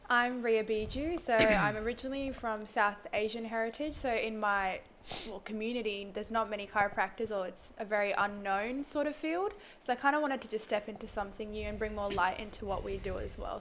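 A young woman introduces her views casually as a student guest. Her voice is medium in pitch, with noticeable noise and clicking in the recording. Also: fairly even intonation, a little fast, hardly any pauses. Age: young adult. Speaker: chiropractic student, interview guest. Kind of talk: casual interview answers. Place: radio studio.

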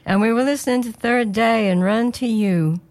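Slightly hoarse woman presenter. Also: fairly high pitch, normal speed, darkish tone. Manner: earnest, prayerful, conversational. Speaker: radio presenter.

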